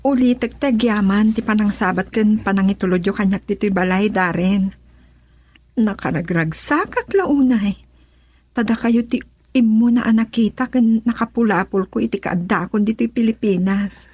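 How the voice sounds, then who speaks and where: A woman's voice: mid-range voice, moderate pace, darkish tone, breathy edge, close to an everyday voice. A woman acting a cheerful young woman in a radio drama, chatty and eager, with a Filipino accent. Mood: happy, grateful. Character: Miles, cheerful young woman returning home to the Philippines.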